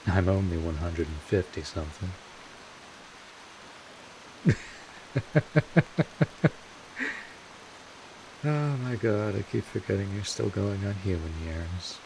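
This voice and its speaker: Casting: male actor as gruff old vampire mentor for audio roleplay, deep voice, deliberate and wry, steady rainy hiss behind. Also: exaggerated voice, rough recording. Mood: amused, teasing. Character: gruff, world-weary male vampire mentor, 150 years old, secretly kind. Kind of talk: scripted audio roleplay monologue. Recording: steady hiss, rain-like noise.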